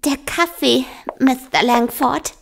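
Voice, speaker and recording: A woman's voice: high-pitched, slow pace, heavily acted. An actress playing a polite, obliging young female secretary in an audio drama. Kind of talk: German audio drama acting. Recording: clearly noisy background.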